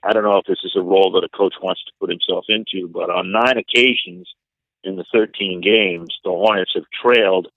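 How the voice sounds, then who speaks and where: Male sports announcer as interview guest, lowish voice, measured and hesitant analysis, thin phone-quality sound. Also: no room sound. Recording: narrow, tinny phone-like line.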